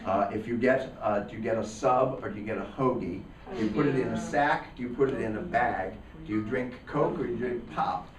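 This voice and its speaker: Teacher in a roomy classroom. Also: male voice, mid-range voice, a little fast, a crowd in the background. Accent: northeastern Pennsylvania. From northeastern Pennsylvania. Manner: conversational, anecdotal. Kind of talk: classroom discussion. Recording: roomy classroom, mic at a distance.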